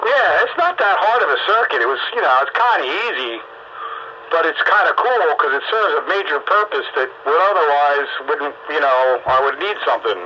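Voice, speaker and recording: A man chatting casually about technical hobby matters over the radio, in a halting, colloquial way as he thinks aloud. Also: on the high side, lively intonation, pushed and bright. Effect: two-way radio transmission. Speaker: amateur radio host and hobbyist. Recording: muffled radio audio with clear background noise.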